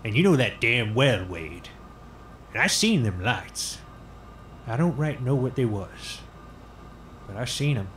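A man's voice: mid-range voice, very animated, measured pace, long pauses, noisy background. An actor playing a defiant, drunken older small-town man, rambling in folksy rural grammar in an audio drama. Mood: earnest, insistent.

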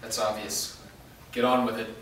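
A grim, defiant young fighter, read by a man, his replies terse and flat in tone. He is heard from a distance across the room. A hollow studio echo sits over a light background noise. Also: lowish voice, moderate pace, lightly acted. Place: large studio room with actors in a circle. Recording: roomy echo from far off.

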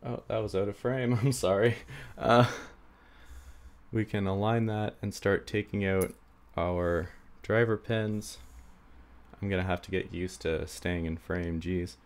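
Low-pitched male hobbyist, unhurried, stuffy nose, rambling tabletop demo. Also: fairly even intonation. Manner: rambling, self-deprecating, many fillers. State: stuffy, getting sick. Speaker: hobby lockpicker demonstrating. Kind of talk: casual hands-on tutorial. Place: indoors at a table.

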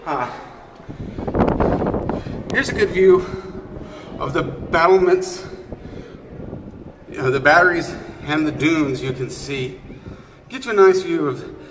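A man with a slightly high voice gives casual, chuckling travel narration while climbing. The sound is very echoey, with long reverb off a narrow stairwell and clear background noise from his handheld camera. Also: slow, long pauses. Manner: chatty, good-humored, chuckling; exerting himself climbing stairs while talking. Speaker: amateur vlogger filming a sightseeing climb. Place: inside a narrow brick lighthouse stairwell. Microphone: handheld camera held by the speaker.

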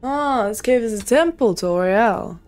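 A woman's voice: on the high side, animated, normal speed, darkish tone. A young woman giving casual, playful, chatty commentary while she plays a video game. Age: young adult. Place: small indoor room at home.

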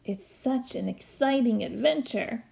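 A woman's voice: a little high, measured pace, vocal fry, a little mellow, rough recording. A woman voicing an eager young girl who loves books, in a storybook read aloud.

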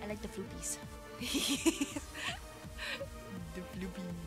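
A woman's voice: medium pitch, animated, takes it slowly, music in the background. A congested, nasal-sounding woman chatting casually and playfully with viewers as a livestreamer, giggly and affectionate. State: congested from illness. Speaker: streamer.

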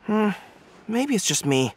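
A man's voice: high-pitched, very animated, unhurried, breathy edge, heavily acted. A voice actor voicing a polite, curious young man who speaks thoughtfully and earnestly, in video game voice acting.

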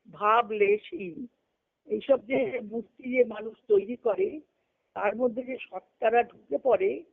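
A woman's voice: fairly high pitch, gravelly. A woman giving a spiritual discourse as a teacher, repeating and rephrasing key phrases as she explains. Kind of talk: spiritual lecture, reading quotations and explaining them. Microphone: conference call. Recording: muffled lo-fi conference call audio.

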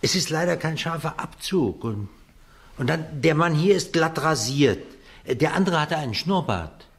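An actor playing a nervous, evasive shopkeeper who stammers as a witness in a German radio crime drama. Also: male voice, on the high side, animated. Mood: hesitant, evasive. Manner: halting, stammering.

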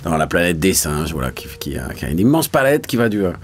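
A man's voice: deep voice, big pitch swings, fast talker. A man, a voice actor talking casually in an interview, colloquial and searching for his words. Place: small recording studio.

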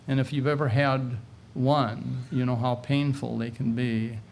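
Preacher, male, sixties. Gravelly and slow, pausing between short phrases, speaking at a lectern mic. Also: mid-range voice, level tone, darkish tone, a little hiss or hum. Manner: measured, halting delivery in short phrases with pauses. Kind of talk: sermon retelling a Bible story. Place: indoor meeting room, at a lectern. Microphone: lectern gooseneck microphone.

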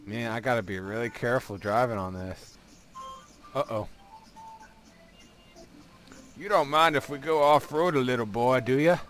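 A man's voice: mid-range voice, normal speed, fairly bright tone, faint music behind. A man playing a doddering old grandpa with bad eyesight in a game roleplay, drawling and folksy. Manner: folksy, drawling, calls others boy and sonny.

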